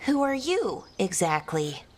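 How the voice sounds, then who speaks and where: An actress playing a young, naive elf researcher in a video game, excitable and halting in manner. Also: female voice, medium pitch. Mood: startled, excited. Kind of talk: video game character acting.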